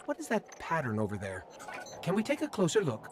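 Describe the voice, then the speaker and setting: Curious, lively high-voiced male robot companion. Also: a little fast. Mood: wondering. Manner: curious, expressive, chatty. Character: inquisitive, excitable little robot companion, male. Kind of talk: video game voice acting. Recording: game noise behind the voice.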